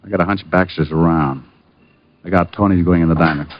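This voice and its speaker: An actor playing a wisecracking, cool-headed private detective in an old-time radio drama, with a wry, offhand, playful way of talking. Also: male voice, deep voice, steady tone, brisk, lightly acted. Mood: watchful, terse. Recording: muffled vintage radio broadcast with music.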